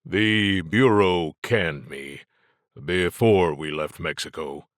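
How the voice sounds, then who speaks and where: A man's voice: deep voice, slow, fairly bright tone, no echo. A man voicing a weary, guarded male federal agent with a terse, dry way of talking in an audiobook. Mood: resigned. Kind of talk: audiobook character dialogue.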